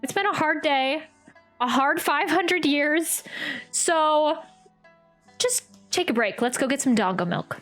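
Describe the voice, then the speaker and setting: A woman's voice: sits high, expressive, takes it slowly, fairly bright tone. A woman streaming a game playthrough with casual reactions, coaxing in a motherly way and repeating phrases. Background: game music.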